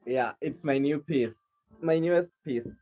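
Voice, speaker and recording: A man's voice: on the high side, measured pace, mellow, soft background music. A man from Kenya, a rug maker chatting casually on a livestream and answering viewers' questions with a helpful, rambling manner. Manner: chatty, helpful, lots of restarts.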